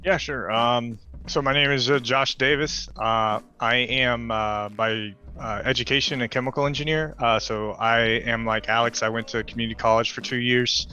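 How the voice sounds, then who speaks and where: A man introducing his engineering career on a livestream panel, steady with frequent uhs and ums. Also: medium pitch, normal speed, lo-fi sound, soft background music. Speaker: mentor panelist. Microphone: video call.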